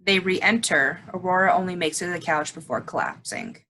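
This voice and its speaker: A woman's voice: on the low side, a little fast, sharp tone, slight background noise. A woman reading stage directions as scripted narration.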